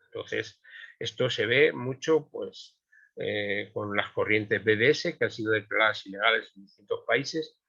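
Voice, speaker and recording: A man's voice: fairly low pitch, measured pace, creaky, sharp tone, breathy edge, highs cut off. A man making an emphatic argument as a guest in an online panel, with many fillers.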